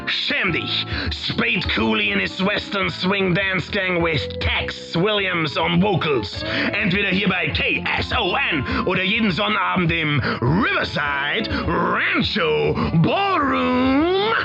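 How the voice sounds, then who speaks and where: An actor playing a 1940s American radio DJ who presents the hit parade. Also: male voice, sits high, lively intonation, takes it slowly, creaky, few pauses, full character voice. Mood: smooth presenting. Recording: dull old-radio sound with music.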